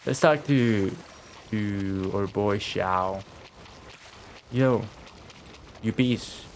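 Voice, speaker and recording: A man giving casual live commentary as a game streamer, reacting out loud and swearing freely. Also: fairly low pitch, drawn-out words, a little hiss or hum. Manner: profane, reactive, talks to game and viewers. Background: game music.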